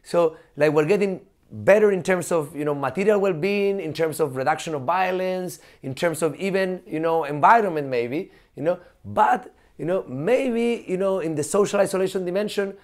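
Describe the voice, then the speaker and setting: A high-pitched man, chatting as a podcast guest. He talks in an animated, loose way, full of fillers, laughs and the odd swear word. His voice sits well above the usual male range. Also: normal speed. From Chile. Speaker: guest, professor. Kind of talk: casual podcast conversation.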